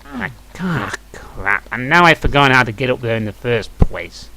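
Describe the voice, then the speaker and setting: A man's voice: medium pitch, sing-song, moderate pace, creaky, bright, forceful tone, a little hiss or hum, poor audio. A man giving casual video game commentary, thinking aloud in a musing, dryly critical way. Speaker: let's play host. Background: music behind the voice.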